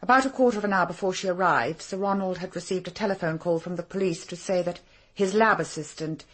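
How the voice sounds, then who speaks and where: A composed, superior female secretary in a British radio drama. Her English voice is fairly low with a husky grain, and her phrasing is formal and clipped. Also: fast, a bit bright. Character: cool, brisk, haughty female secretary to a powerful man.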